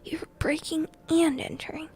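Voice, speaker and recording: A woman's voice: sits high, steady tone, normal speed, a little mellow, slight background noise, voice pushed up. A woman voicing a frightened, blustering uncle in a storybook, stammering with indignation. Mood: indignant.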